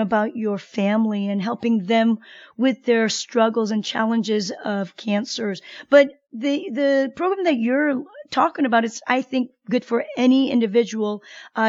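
A woman who is a doctor, hosting a radio wellness show and interviewing a guest in a measured, informative way. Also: fairly high pitch, no room sound, dull audio.

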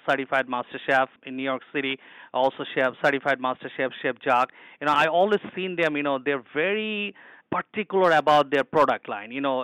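Man, Bangladeshi accent, hospital chef, eager rambling storyteller, slightly high voice, dry sound. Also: pushed and bright, no room sound, poor audio. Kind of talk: interview answers.

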